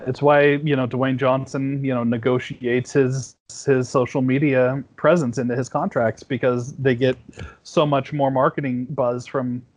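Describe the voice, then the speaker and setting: A man's voice: medium pitch, flat delivery, a little slow, darkish tone, rough recording. A man, around his forties, talks as a podcast guest in a rambling, easygoing way, full of restarts and fillers. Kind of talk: casual conversation. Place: home at night.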